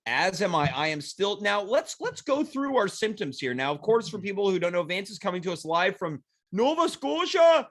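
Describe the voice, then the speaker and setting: A man's voice: sits high, big pitch swings, normal speed, hardly any pauses, fairly bright tone. A man hosting a casual comedy talk show, joking and rambling playfully while congested with a cold. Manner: playful, rambling, self-mocking jokes.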